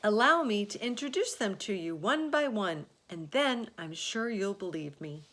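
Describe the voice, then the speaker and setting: A woman's voice: medium pitch, animated, moderate pace, fairly bright tone, slight background noise, pitched above the performer's own voice, lightly acted. A woman voicing a cheerful, polite young boy who speaks eagerly in sing-song rhymes. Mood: proud.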